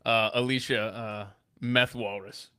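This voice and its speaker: A man's voice: lowish voice, level tone, measured pace, pushed and bright, smooth. A tired man hosting a podcast, chatting informally and bantering with his guests in a studio. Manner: informal, bantering, calls people bro. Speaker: podcast host. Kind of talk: casual chat.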